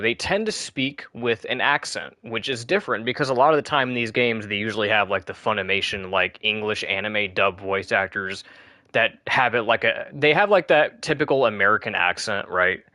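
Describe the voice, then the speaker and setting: A man's voice: on the low side, normal speed, bright, forceful tone, no echo. Rambling man commenting on gameplay. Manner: rambling, chatty, with frequent restarts. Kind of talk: casual let's play commentary.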